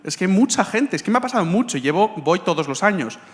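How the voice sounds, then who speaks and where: A man from Spain with a Spanish accent asks the speaker a question from the audience. He talks earnestly, stumbling and restarting with plenty of fillers, his voice fairly high for a man. A long reverb from the hall hangs on his words. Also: very animated. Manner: earnest, halting, many fillers. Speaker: audience member asking a question. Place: lecture hall.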